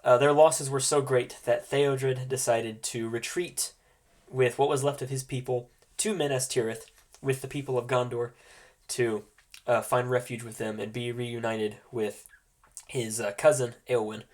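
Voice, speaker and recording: A man with a mid-range voice, stuffed up and slightly nasal from a cold, hosts a tabletop roleplaying session as game master. He explains in an informal, halting way, finding his words as he goes. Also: mid-range voice, steady tone. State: congested from a cold.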